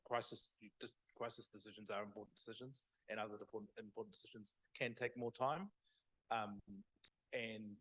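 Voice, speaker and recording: A man's voice: medium pitch, a bit bright, poor audio. A man, a school board member, answering audience questions at a public lecture with many hesitant restarts. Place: parish hall.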